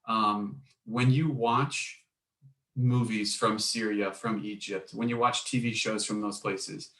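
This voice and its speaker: A man with a fairly low voice interviews a guest, sounding curious and a little apologetic. He restarts his sentences as he works his questions out. The recording carries a noticeable room echo. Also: fairly even intonation, slow pace, a bit bright. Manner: apologetic, curious, repeats himself while questioning. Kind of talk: conversational interview. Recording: echoey room.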